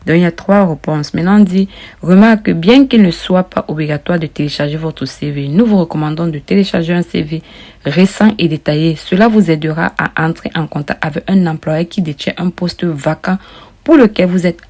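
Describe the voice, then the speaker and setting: A woman's voice: on the low side, brisk, darkish tone. A woman vlogger casually walking viewers through an application form, often repeating and rephrasing herself and calling them her friends. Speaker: immigration advice vlogger.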